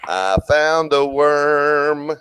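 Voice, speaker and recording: A man's voice: fairly high pitch, lively intonation, drawn-out words, fairly bright tone, clear, resonant tone, no echo. A man joking and boasting in a casual online group chat, often stumbling and restarting. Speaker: livestream chat participant.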